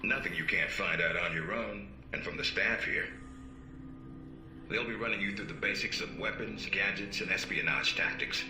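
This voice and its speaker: An actor plays a middle-aged intelligence handler in a game cutscene. His voice is very deep and authoritative, with dry wit. It sits in a roomy space with music playing behind. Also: natural voice. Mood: grave, encouraging. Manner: measured, authoritative, wry. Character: stern, dry-witted middle-aged male spy agency handler. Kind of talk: video game cutscene acting.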